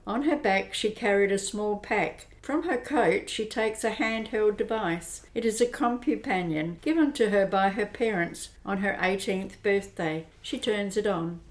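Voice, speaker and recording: A woman narrating an amateur radio drama in a steady, descriptive way. Her voice sits at a middle pitch. The recording has a roomy echo around it. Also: a little slow, few pauses.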